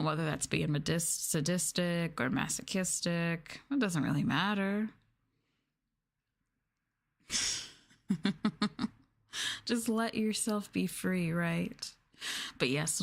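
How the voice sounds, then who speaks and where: Woman, lowish voice, very slow pace, sultry, condescending, teasing, ASMR roleplay livestream. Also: gravelly. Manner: teasing, condescending, mock-sadistic dominance.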